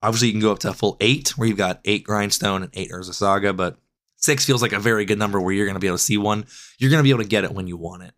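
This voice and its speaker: Hoarse man getting over a cold. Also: on the low side, rapid-fire, a bit bright. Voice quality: raspy. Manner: loose, rambling with frequent self-corrections. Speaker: podcast host. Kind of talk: casual deck analysis.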